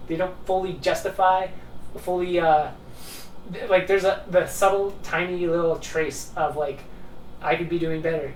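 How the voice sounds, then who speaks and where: A man's voice: high voice, fairly even intonation, moderate pace. A man talking casually and off the cuff about ideas, rambling and repeating words for emphasis. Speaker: host of a discussion video. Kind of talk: casual unscripted philosophical talk. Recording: roomy, distant mic with faint noise.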